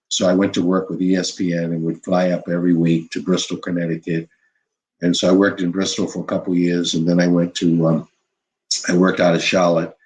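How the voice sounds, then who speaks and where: An older man, a former basketball coach, telling stories from his career in an unhurried interview, full of "you know" and restarts. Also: sits low, darkish tone, rough recording. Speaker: former college basketball head coach, guest. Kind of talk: reflective interview storytelling.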